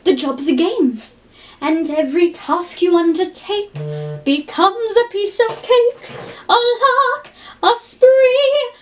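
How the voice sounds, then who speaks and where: A prim, mature British nanny with a high voice, as a woman impersonates her. She is cheery, proper and polite, straight out of a musical film, with a British accent. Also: takes it slowly. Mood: singing. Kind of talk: musical film character impression.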